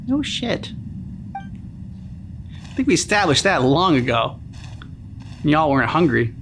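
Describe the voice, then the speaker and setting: A man's voice: fairly high pitch, big pitch swings, slow, vocal fry, fairly bright tone, a little hiss or hum. A man giving casual, joking reaction commentary with a wry, irreverent manner. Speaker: reaction video host. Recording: game music behind commentary.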